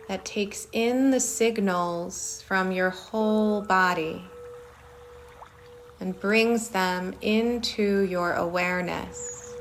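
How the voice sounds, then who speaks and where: Very slow-spoken woman guiding meditation. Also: mid-range voice, fairly bright tone. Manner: soothing, short phrases with long pauses. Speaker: meditation guide. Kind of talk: guided meditation, body scan. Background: singing bowls.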